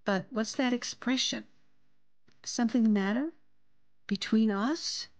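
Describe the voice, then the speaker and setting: An actress playing a gentle, affectionate woman in love in a radio drama, tender and softly playful. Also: on the high side, measured pace, no echo. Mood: gently curious.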